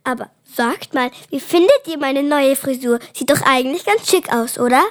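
A child acts out a bold, impatient kid in a children's radio play. The voice is very high and youthful, eager for adventure and urging the others on. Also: moderate pace, lightly acted. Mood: silly. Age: child, about ten. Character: impatient, daring, clumsy young sibling eager for adventure.

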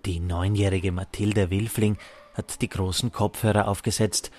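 A man's voice: fairly low pitch, steady tone, normal speed, a little mellow. A man reading scripted narration for a radio documentary as its reporter.

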